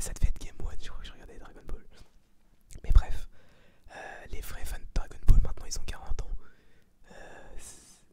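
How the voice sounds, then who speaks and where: An eighteen-year-old man gives an informal ASMR review in a breathy whisper, slangy, sweary and blunt. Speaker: ASMR creator reviewing a film.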